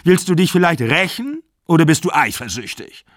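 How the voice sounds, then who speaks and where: A man's voice: medium pitch, lively intonation, normal speed, no echo, studio quality. A man voicing a furious, bitter aging actor of sixty-five who rants and accuses. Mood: accusing. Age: 60s. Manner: ranting, accusing, shouting.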